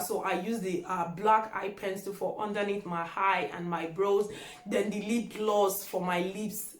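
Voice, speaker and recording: A woman of about thirty with a Nigerian accent, a native Yoruba speaker, chatting casually as a vlogger and repeating words for emphasis. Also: medium pitch. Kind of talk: casual chat answering tag questions. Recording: echoey room.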